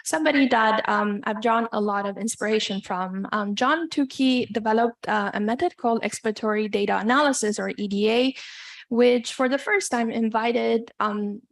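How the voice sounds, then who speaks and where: A woman from Iran giving an academic lecture as a guest speaker, measured and hesitant, pausing often as she goes. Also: on the high side.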